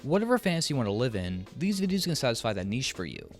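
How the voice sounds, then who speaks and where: A man's voice: mid-range voice, big pitch swings, fast, over music. A man hosting a scripted video essay as a commentator, with a sarcastic, joking manner and casual swearing. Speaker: YouTube commentator and host.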